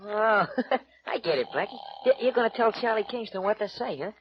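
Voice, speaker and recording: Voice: medium pitch, animated, fairly quick, natural voice. A performer playing a dim, loyal, eager male sidekick with naive, slangy delivery in a 1940s radio detective drama. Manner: naive, slangy, wide-eyed. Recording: muffled old radio recording with hiss.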